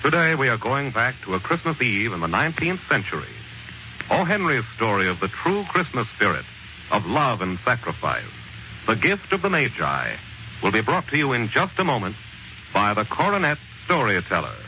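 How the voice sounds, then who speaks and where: A man's voice: medium pitch, sing-song, moderate pace, a little hiss or hum. A man announcing a programme in formal 1940s radio style. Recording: muffled 1940s radio broadcast, loud music.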